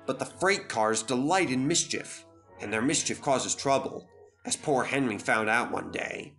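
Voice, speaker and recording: A man's voice: medium pitch, a little slow, a bit bright, faint music behind. A man narrating a scripted children's story as a dramatic storyteller.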